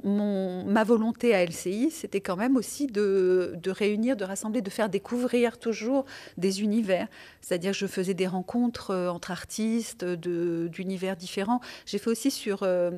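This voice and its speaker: A woman's voice: medium pitch, unhurried, warm tone. A middle-aged woman, raised in France, recalling her life and career in an interview, with frequent hesitations and restarts. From born in Tunisia, raised in France. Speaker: guest, television presenter. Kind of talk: podcast interview, reflective storytelling.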